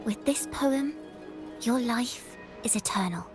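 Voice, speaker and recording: Slow, solemn, mysterious girl. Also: a little high, expressive. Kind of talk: video game voice acting, slow poetic lines. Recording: game music behind.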